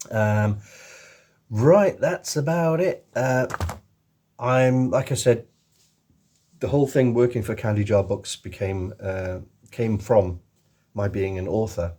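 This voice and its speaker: A middle-aged man with an accent somewhere between English and Welsh, chatting casually about his projects. His voice is deep, mellow and slightly husky. He rambles freely and fills his pauses with ums and restarts. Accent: mix of English and Welsh. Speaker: author, illustrator and voice actor. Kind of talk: casual video chat to camera.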